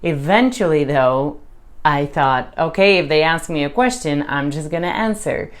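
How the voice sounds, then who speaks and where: Low-voiced Hungarian woman vlogging. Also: animated, moderate pace. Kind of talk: casual talk to camera.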